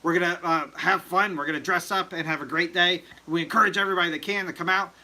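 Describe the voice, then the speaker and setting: A man's voice: high-pitched, fairly even intonation, fast talker, bright, forceful tone. A man hosting a casual livestream chat, rambling with lots of fillers and joking with viewers. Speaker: livestream host.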